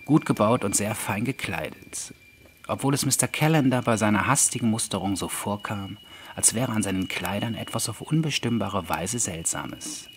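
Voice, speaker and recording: Low-pitched male audiobook narrator. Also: normal speed, slight background noise. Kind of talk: scripted literary narration of an eerie story.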